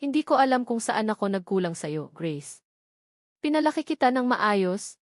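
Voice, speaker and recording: A woman's voice: on the high side, very animated, normal speed, dry recording, studio quality. A woman voicing an angry middle-aged mother in an audio drama, scolding her daughter in cutting, emphatic bursts. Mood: scornful fury.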